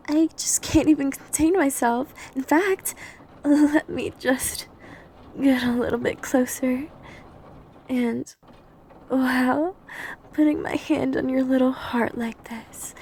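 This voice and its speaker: Over faint hiss and noise comes an obsessive, hungry vampire woman, played by a woman, in an intimate ASMR roleplay. Her voice is soft-toned and mellow with heavy fry, breathy and prone to whispering, while staying domineering and possessive. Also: sits high. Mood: excited, hungry. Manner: breathy intimate delivery, often dropping to whispers. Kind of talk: ASMR roleplay audio drama.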